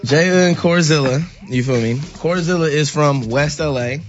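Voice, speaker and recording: A laid-back man from Hawaii talks as a rapper guest in loose, slangy speech and a slightly high voice. The studio recording sounds muffled and lo-fi. Faint music plays in the background. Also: moderate pace. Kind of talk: casual radio interview.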